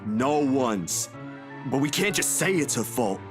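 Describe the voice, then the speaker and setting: A man's voice: medium pitch, very animated, measured pace. An actor playing a blunt, exasperated young man in a visual novel game, with game music behind him. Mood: reasoning. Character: fed-up young man breaking up a friends' squabble.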